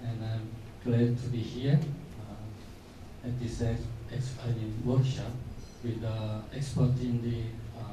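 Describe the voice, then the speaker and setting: A man with a Korean accent, a government delegate, introducing himself at a workshop with many filler pauses. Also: mid-range voice, flat delivery, noisy background. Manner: halting, frequent filler pauses. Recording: echoey conference hall, distant mic.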